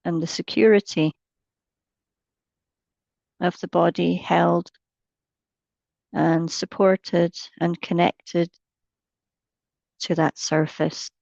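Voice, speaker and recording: A woman with a slightly low voice teaches mindfulness in a guided meditation. She speaks very slowly and gently, breaking her sentences into short phrases with long pauses. The recording is dry and a little dull. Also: level tone, gravelly, no room sound.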